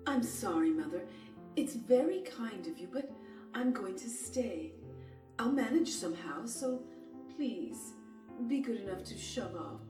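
Casting: woman playing a plucky, proper radio-drama heroine, lively and indignant, with music behind and heavy room echo. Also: medium pitch, unhurried, distant mic, lightly acted. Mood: firm. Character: spirited, stubborn young widow standing her ground. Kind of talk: theatrical audio drama acting.

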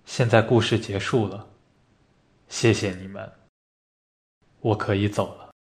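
A man acting a dying hospital patient in a radio play, calm, resigned and reflective as he speaks quietly. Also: lowish voice, measured pace. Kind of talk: amateur radio drama reading of a stage play.

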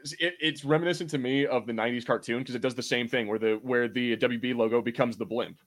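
A man with an average-pitched voice hosts a casual watch-party chat. He sounds enthusiastic and often halts or repeats himself as he comments. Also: steady tone, fast talker. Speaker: podcast host.

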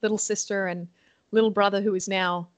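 A woman's voice: mid-range voice, level tone, fairly quick, no echo. Chatty Australian woman, dry close sound. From Sydney, Australia. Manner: cheerful, chatty, laughs often, many ums. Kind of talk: casual interview chat.

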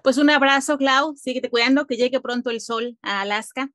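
A woman's voice: high-pitched, moderate pace, fairly bright tone, dry recording, clean studio sound. Friendly woman podcast host. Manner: friendly, encouraging, asks long questions. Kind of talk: interview hosting.

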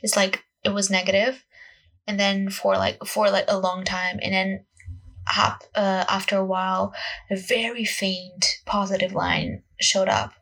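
A woman around twenty with a Portuguese accent chats casually into a solo podcast. Her voice is fairly husky, with plenty of vocal fry. She rambles in a scattered, self-conscious way, leaning on "like" as she goes. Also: mid-range voice, flat delivery, unhurried, a bit bright. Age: young adult, about 20. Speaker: podcast host, acting student.

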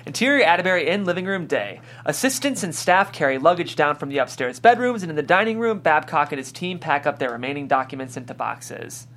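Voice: medium pitch, animated, brisk, few pauses, a bit bright. A narrator reading scene headings and stage directions from a screenplay at a table read.

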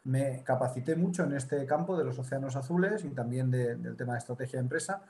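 A man's voice: mid-range voice, quick pace, a little mellow, slight background noise. Spanish man explaining in a roomy echo. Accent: Spanish from Spain. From Spain, Mediterranean coast. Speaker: business consultant guest. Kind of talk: live interview.

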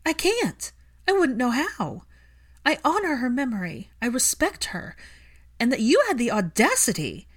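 An impassioned young woman from an audiobook, played by a female reader, pitched well up and forceful in delivery. She is fiercely loving, defiant and wounded, and leans hard on her words. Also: very animated, normal speed. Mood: shaken, fierce. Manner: emphatic, impassioned.

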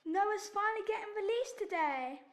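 A woman acts a caring family member in an audio drama. Her voice is high, and she speaks supportively and sincerely. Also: animated, normal speed. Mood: proud. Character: supportive, proud female family friend.

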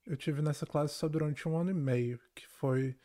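A man's voice: a little high, moderate pace, mellow. A man telling personal stories in casual chat, acting out quotes and often checking that the listener follows.

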